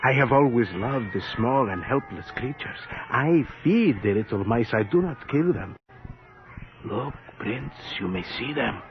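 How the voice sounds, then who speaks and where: An actor playing a humble but defiant, pious parish priest in a radio horror drama, speaking solemnly and theatrically. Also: male voice, mid-range voice, expressive, a little slow. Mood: ominous. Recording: dull, noisy old radio recording.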